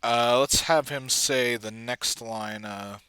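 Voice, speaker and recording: A man giving casual, halting screen-recording commentary as he thinks aloud, muttering to himself in a self-deprecating way. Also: mid-range voice, sharp tone, clear, resonant tone, no echo. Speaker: video editor and comic dubber.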